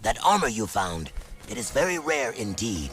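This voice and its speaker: A man voicing a stern desert ruler in a video game, speaking with measured, grave authority. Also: on the low side, sing-song, a bit bright. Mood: commanding, grave. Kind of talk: animated video game voice acting. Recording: music behind the voice.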